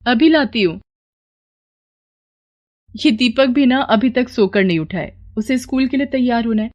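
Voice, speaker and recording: A woman's voice: a little high, a little slow, a little mellow, dull audio, pitched above the performer's own voice, natural voice. A woman voicing a poor, worried, caring mother in a moral story, earnest and homely as she pleads and scolds.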